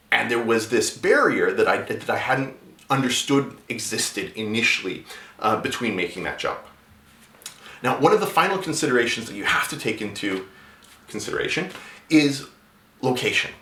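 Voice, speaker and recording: A man's voice: medium pitch, a bit bright, reverberant. A man giving casual, conversational job-search advice in a vlog, often restarting his sentences. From native English speaker. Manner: conversational, many restarts and filler words.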